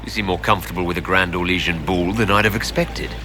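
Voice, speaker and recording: A man's voice: deep voice, fairly even intonation, fast talker, lightly acted. An actor playing a composed, courteous hero in scripted fantasy game dialogue, polite in his phrasing. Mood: even, inquiring. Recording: loud game music behind.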